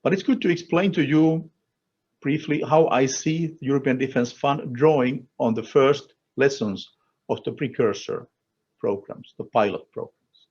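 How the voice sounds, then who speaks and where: A man from Finland with a Finnish accent delivers a formal policy speech as an official, in deliberate, emphatic short phrases. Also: medium pitch, a little mellow, breathy edge, lo-fi sound. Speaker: European Commission official speaking at a conference.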